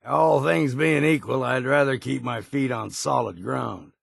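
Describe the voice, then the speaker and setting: Game companion: weathered old seaman, gruff and folksy, heavy gravel, unhurried delivery with plain rustic phrasing. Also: mid-range voice, dry recording, studio quality, full character voice. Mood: wry caution. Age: older. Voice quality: gravelly, raspy. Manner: folksy, rustic turns of phrase, dropped grammar. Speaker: video game companion character. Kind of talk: video game voice lines.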